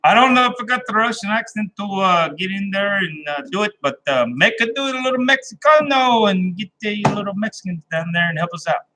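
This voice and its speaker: A man's voice: sits high, animated, brisk, hardly any pauses, bright, forceful tone, slight background noise, rough recording. A man chatting casually with viewers on his live stream, rambling and laid-back, with a folksy, plain-spoken way of talking. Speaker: livestream host chatting with viewers.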